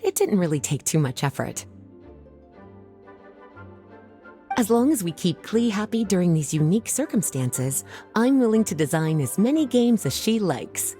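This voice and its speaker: A woman voicing a poised, indulgent librarian in a video game, velvety, articulate and gently teasing. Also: medium pitch, sing-song, moderate pace, few pauses, faint music behind, close to an everyday voice. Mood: playful.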